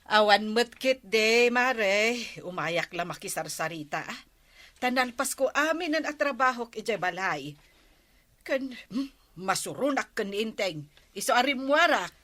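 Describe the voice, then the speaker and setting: A woman's voice: on the high side, lively intonation, a little slow, a bit bright. A woman playing a chatty, kind-hearted village housewife in a comedy drama. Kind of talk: comedy radio drama acting in Ilocano.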